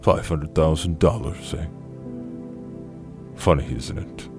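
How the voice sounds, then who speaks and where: An actor playing a suave, sinister cannibal gentleman in a horror audio drama, gravelly, theatrical and mockingly polite, savouring each cruel word. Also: a bass voice, slow, music in the background, exaggerated voice. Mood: softened, smug. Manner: theatrical, mock-polite, savouring his words.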